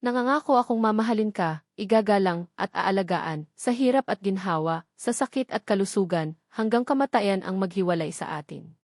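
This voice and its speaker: A woman's voice: medium pitch, moderate pace, darkish tone, no room sound, studio quality, natural voice. A woman narrator voicing a devoted, loving young bridegroom in a sentimental storybook reading. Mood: solemn, loving.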